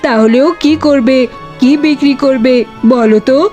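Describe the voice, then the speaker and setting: A sly animal wife from a folk-tale cartoon voiced by a woman talks in a high voice. She is coaxing and conspiratorial, with soft music underneath. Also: fairly even intonation, moderate pace, close to an everyday voice. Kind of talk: children's cartoon voice acting. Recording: faint music behind the voice.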